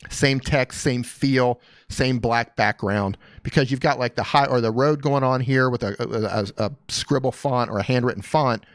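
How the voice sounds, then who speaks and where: A man's voice: medium pitch, normal speed, hardly any pauses, no echo, clean studio sound. A man hosting a live stream, giving casual, upbeat coaching advice on channels and reading viewer comments aloud. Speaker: YouTube coach reviewing channels.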